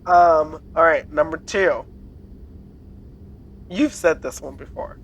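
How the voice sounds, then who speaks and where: A woman's voice: deep voice, slow pace, husky, lo-fi sound. Woman, podcast co-host, casual chat, teasing, quiz-style, laughs often.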